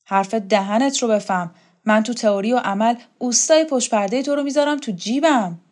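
A woman's voice: fairly high pitch, darkish tone, voice pushed up, close to an everyday voice. A woman voicing a proud, hot-tempered tough female fighter in an audiobook, coarse and boastful. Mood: angry, scornful. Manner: swearing, growling, boastful.

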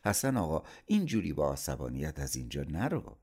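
A man's voice: deep voice, big pitch swings, exaggerated voice. Man voicing an anxious, pleading middle-aged mother. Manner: gentle, pleading, placating. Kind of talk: dramatised audiobook storytelling.

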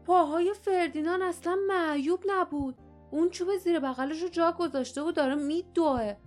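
A woman voicing a young male adventurer in an audiobook, speaking in an excited, raised voice. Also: very high voice, soft background music, voice pushed up. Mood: amazed.

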